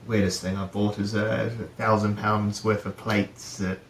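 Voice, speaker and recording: A man's voice: lowish voice, level tone, unhurried, roomy sound, slight background noise. A middle-aged man from New Zealand, a guest talking casually about his career, with many fillers and restarts. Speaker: guest, head of procurement. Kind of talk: casual interview chat.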